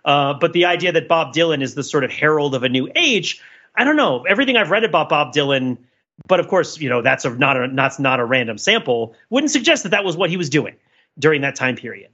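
A man's voice: on the high side, big pitch swings, fairly quick, pushed and bright, highs cut off. A man co-hosting a podcast, giving casual, opinionated cultural commentary in a rambling, digressive way, as if thinking aloud. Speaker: podcast co-host.

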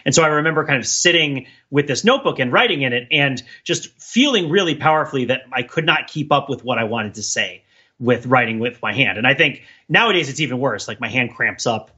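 Male podcast co-host, mid-pitched and bright. Discursive, casual, thinks out loud. Slightly lo-fi sound. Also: lively intonation, fairly quick, breathy edge. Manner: discursive, thinking aloud, often tags points with "right?".

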